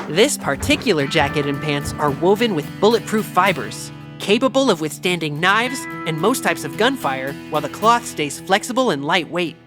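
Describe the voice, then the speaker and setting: Proud, eager gadget inventor voiced by a woman, audio drama, mid-pitched, clear music underneath. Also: close to an everyday voice. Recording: music bed behind the voice.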